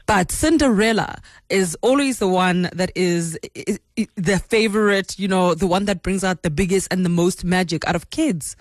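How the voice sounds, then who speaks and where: A woman's voice: on the low side, normal speed, dry recording. South African woman hosting casual radio, dry sound. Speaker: radio host. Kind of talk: casual live radio interview. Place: radio studio.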